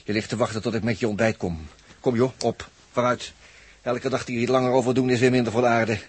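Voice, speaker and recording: A man acting an excitable, wry spaceship crewman in a 1960s radio drama, husky, repeating himself in exclamations. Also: medium pitch, fairly quick, natural voice. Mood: sardonic.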